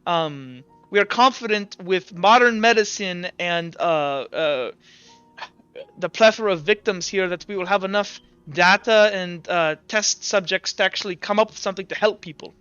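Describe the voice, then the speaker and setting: Evasive Inquisition doctor for tabletop fantasy, male player, bright, halting, soothing on the surface. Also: high voice, clean tone, no echo, dull audio, soft background music. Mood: reassuring, evasive. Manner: hesitant, halting, reassuring.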